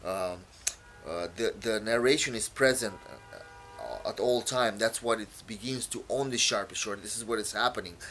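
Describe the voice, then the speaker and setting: A man from Romania, whose first language is Romanian, explains his own poem in a halting way, full of fillers and repeated words. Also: lowish voice, fairly bright tone, a little hiss or hum. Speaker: poet.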